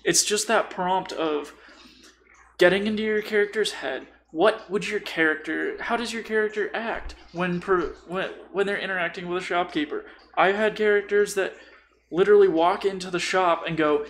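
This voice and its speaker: A man's voice: high-pitched, moderate pace, a bit bright, a little hiss or hum. A man chatting casually and explaining tabletop role-playing with acted-out examples, as an experienced game master.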